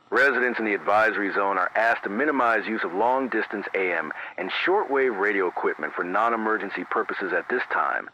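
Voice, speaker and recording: A man playing a careful, grave local radio announcer who reads emergency bulletins in a formal, measured way, repeating key phrases, through an AM radio filter. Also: fairly low pitch, bright, forceful tone, exaggerated voice. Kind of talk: scripted analog horror news bulletin. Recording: dull AM radio sound, faint noise.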